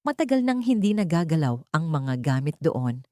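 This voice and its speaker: Stern Filipino household mistress for a storybook drama, read by a female narrator. Composed, commanding, lowish mellow voice. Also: normal speed, no room sound, clean studio sound. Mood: cold, commanding. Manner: calm but steely, commanding. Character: stern, controlling, outwardly calm woman running a mansion.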